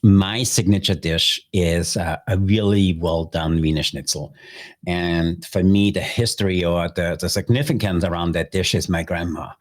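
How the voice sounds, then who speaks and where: A man's voice: sits low, moderate pace. A middle-aged man from Austria with an Austrian German accent, a chef hosting a podcast and answering listener questions in an earnest way. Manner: earnest, repeats phrases, non-native grammar.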